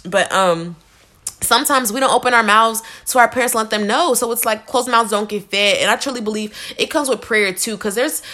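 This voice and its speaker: A woman's voice: on the high side, brisk, sharp tone. A twenty-year-old woman, a college student, talking candidly and earnestly in a casual way, with many "like" fillers. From United States. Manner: earnest, casual, heavy use of "like". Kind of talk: candid personal talk.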